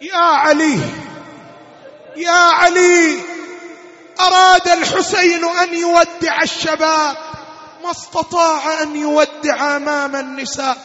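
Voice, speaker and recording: A man preaches a lamentation in a bright, forceful wail, half sung and full of anguish. He holds a narrow, insistent line and stretches his words slowly. The sound is dull and lo-fi. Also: very high voice, level tone. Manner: anguished, wailing, drawn-out delivery. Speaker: religious mourning reciter and preacher. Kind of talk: Shia lamentation sermon, half-chanted. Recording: long hall reverb, dull lo-fi sound, music-like backing.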